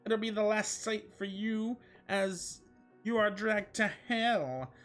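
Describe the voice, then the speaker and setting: A man's voice: high-pitched, steady tone, slow pace, a bit bright, soft background music, voice pushed up. A man voicing a pompous, sinister English king, bellowing theatrically in a comic story reading. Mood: gloating, sinister. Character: raging, sinister English king, a ranting cartoon villain. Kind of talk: comic fan fiction read aloud.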